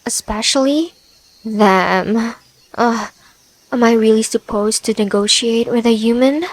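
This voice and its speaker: A woman's voice: on the high side, unhurried. A woman voicing a haughty, prickly spider-woman with a dry, scornful manner in an audio roleplay.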